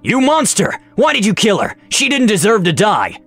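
A man's voice: high voice, huge pitch swings, moderate pace, a bit bright, soft background music, voice pushed up, heavily acted. A man voicing an outraged, grieving dwarf fighter who roars with passion in a fantasy story. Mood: outraged grief. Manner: roaring, impassioned. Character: outraged male dwarf fighter, roaring in grief. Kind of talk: dramatized tabletop fantasy storytelling.

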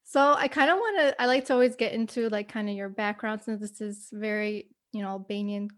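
A woman's voice: medium pitch, brisk, fairly bright tone. A young American woman hosting a podcast, chatting casually with lots of "you know" and "like". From United States, family from Kosovo. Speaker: podcast host. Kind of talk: casual interview chat.

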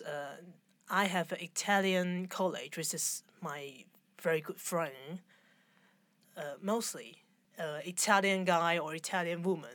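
A woman in her forties from Hong Kong, speaking with a Hong Kong accent and halting, searching English as an interview guest. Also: on the low side, fairly bright tone, no echo. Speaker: fashion designer, guest. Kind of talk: conversational interview.

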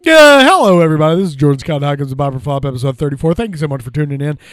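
A man co-hosting a podcast, telling stories and jokes in an animated way and swearing freely. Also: fairly high pitch, very fast, dry recording, studio quality. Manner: animated, joking, swears often. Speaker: podcast co-host. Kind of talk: casual podcast banter.

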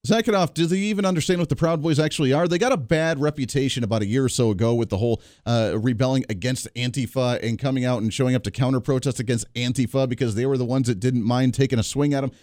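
A man's voice: mid-range voice, a little mellow, dry recording, clean studio sound. A man hosting a political talk radio show, giving opinionated and sarcastic commentary between played clips. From Kansas area, United States. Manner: opinionated, sarcastic, rapid asides.

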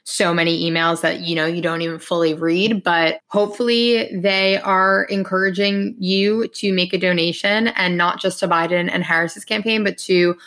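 A young woman co-hosting a podcast, talking in a relaxed, casual way with lots of fillers. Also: fairly low pitch, moderate pace, hardly any pauses. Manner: relaxed, casual, many "like" and "you know" fillers. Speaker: podcast co-host.

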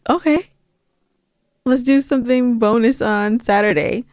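A woman's voice: a little high, unhurried, a little mellow, no room sound, studio quality. A woman co-hosting a podcast, chatting casually and informally, with music playing behind her. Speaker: podcast co-host.

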